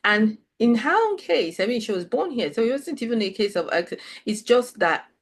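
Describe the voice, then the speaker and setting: A woman's voice: on the high side, sing-song, moderate pace. A middle-aged woman from Nigeria, hosting an interview chat and speaking reflectively, often restarting her sentences. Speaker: host.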